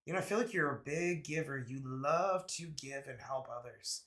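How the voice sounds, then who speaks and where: A man doing a casual, chatty tarot reading, laid-back and quick to laugh, his voice a little raspy from a cold. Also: mid-range voice, a bit bright. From Canada. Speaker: tarot reader.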